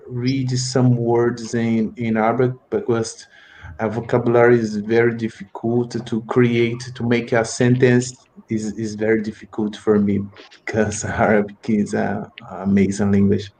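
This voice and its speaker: A man's voice: mid-range voice, little pitch movement, measured pace, darkish tone, poor audio. A man from Brazil with a Brazilian Portuguese accent, a native Portuguese speaker, talking hesitantly as a student and searching for words. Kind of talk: casual chat in a second language.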